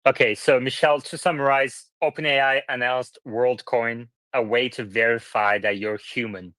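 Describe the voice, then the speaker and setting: A man's voice: mid-range voice, steady tone, moderate pace, bright, forceful tone. A man moderates a live online panel in a mid-range voice. He speaks as a host, passing questions around to his guests, with a dry sound free of room echo. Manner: directs questions to guests by name.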